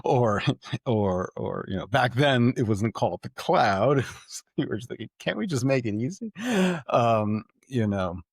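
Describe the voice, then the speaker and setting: A middle-aged man from Puerto Rico, a tech executive guest telling his life story in an animated way, laughing often and repeating words as he starts sentences. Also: mid-range voice, unhurried, vocal fry, dry recording, studio quality. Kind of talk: conversational storytelling.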